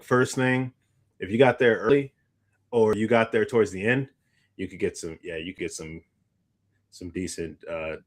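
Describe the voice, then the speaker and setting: A man co-hosting a podcast, chatting casually in informal, slangy speech. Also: deep voice. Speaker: podcast co-host. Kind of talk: casual chat.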